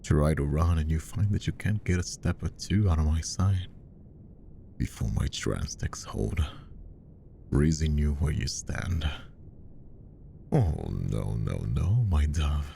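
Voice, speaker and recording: A man playing a possessive, menacingly tender magician hypnotist in roleplay ASMR, speaking softly and coaxingly, often slipping into whispers. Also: lively intonation, slow pace, long pauses, slight background noise, heavily acted. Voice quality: soft, very deep, gravelly. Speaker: voice actor.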